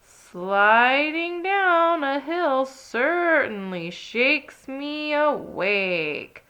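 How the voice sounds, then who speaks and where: A woman voicing a sleepy, good-natured male teddy bear in a children's storybook, with drawn-out, expressive delivery. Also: high-pitched, voice pushed up, full character voice. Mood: excited.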